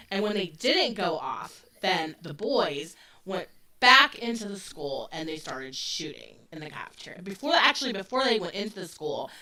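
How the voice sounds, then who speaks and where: A woman in her forties talks through a true-crime case in a loose, chatty way with plenty of fillers. Her voice is bright and forward, though she sits a little back from the microphone, so it sounds slightly distant. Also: fairly low pitch. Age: 40s. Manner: loose and conversational, with frequent fillers. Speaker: podcast co-host. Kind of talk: casual true-crime chat. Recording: voice a bit distant from the mic.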